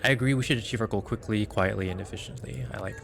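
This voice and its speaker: A man giving casual commentary as he plays a video game, with a creaky voice. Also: on the low side, fast talker, a bit bright. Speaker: gamer recording his playthrough. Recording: game music behind the voice.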